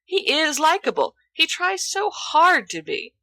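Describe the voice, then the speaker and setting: A woman reading a scripted story aloud as narrator, with expressive delivery. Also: high voice, measured pace, dry recording. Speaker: audiobook reader of fan fiction.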